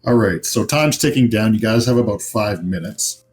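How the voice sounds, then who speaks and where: A man's voice: on the low side, normal speed, a little mellow, faint music behind. A man narrating a tabletop game as game master, in an informal, improvised, conversational way.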